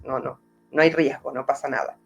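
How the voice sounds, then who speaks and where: A woman's voice: extremely low pitch, fairly even intonation, fairly quick, vocal fry, slight background noise. Reflective Argentine woman on a video call. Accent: Argentine Spanish, softened toward Mexican. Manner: thoughtful, searching for words, frequent "¿no?" tags. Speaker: music therapist guest. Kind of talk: reflective interview answers. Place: home in Mexico.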